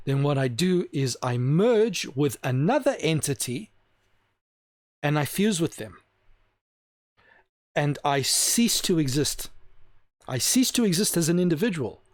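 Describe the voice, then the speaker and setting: Guy with a slightly high voice ranting hard on his channel, keeps repeating himself to hammer it home, slows right down on key words, dead dry sound. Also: dry recording. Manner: emphatic, repeats points for stress. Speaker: video host. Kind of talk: opinionated solo monologue.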